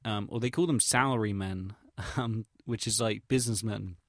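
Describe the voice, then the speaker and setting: A young English man with a fairly low voice talks in a casual audio log, recorded close and dry. He rambles hesitantly through his recollections, using slangy, sweary, self-deprecating wording. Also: normal speed, no echo. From England. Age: young adult. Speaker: vlogger.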